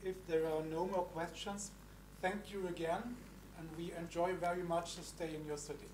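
Session chair giving formal introductions. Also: male voice, on the high side, fairly even intonation, moderate pace, darkish tone, slight background noise, low-quality recording. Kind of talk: moderating a conference session. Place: large dark conference hall.

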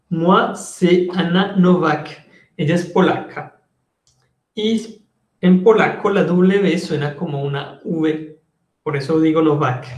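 A man's voice: high voice, a little mellow. A young man, a Spanish speaker, teaching an online language class with careful enunciation and repetition for learners. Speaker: French teacher. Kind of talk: online language lesson, switching between French and Spanish. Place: indoor room, live online class. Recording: roomy, echoing indoor sound.

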